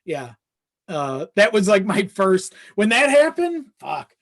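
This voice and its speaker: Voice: medium pitch, very animated, takes it slowly. A podcast guest chatting casually in an informal, sweary and self-deprecating way. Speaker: video editor.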